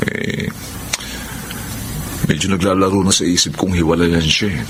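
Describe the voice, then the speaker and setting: A man with a Filipino accent playing an amiable, long-suffering husband, chatty and expressive, in a radio drama. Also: sits low, moderate pace, background noise, lightly acted. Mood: weary.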